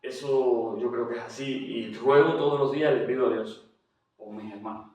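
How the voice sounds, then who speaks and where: A man with a Cuban Spanish accent, heard from a little way off the mic in a very echoey room. He talks at a mid pitch, hesitating often and restarting his sentences as he shares his story. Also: steady tone, normal speed, darkish tone, far from the mic. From Cuba. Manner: hesitant, with frequent fillers and restarts. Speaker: interview guest, general doctor. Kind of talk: testimony interview.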